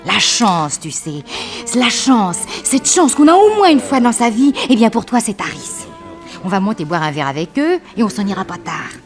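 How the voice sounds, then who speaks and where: An actress playing a flirtatious, cajoling mistress in a gushing, familiar way, in the style of a 1960s French radio drama. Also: female voice, medium pitch, sing-song, moderate pace, lightly acted. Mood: coaxing, persuasive. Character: flirtatious, coaxing mistress with a scheming streak. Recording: old radio serial with music behind.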